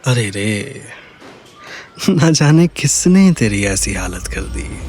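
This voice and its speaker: A man acts a cool, vengeful businessman hero in an audio drama. His low voice is slow and self-assured, with dramatic weight over prominent music. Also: sing-song, a little mellow. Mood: coldly gloating.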